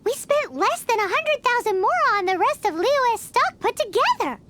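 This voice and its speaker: A woman's voice: very high-pitched, sing-song, normal speed, background noise. A woman voicing a polite, mischievous, bookish rich boy in a video game, speaking confidently and articulately. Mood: mock indignant. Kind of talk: scripted video game dialogue.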